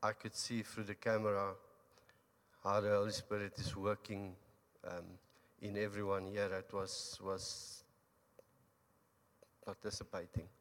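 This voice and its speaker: A man giving a halting, unscripted testimony in a church, with many restarts. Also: lowish voice, monotone, a bit bright. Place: church hall. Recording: long hall reverb.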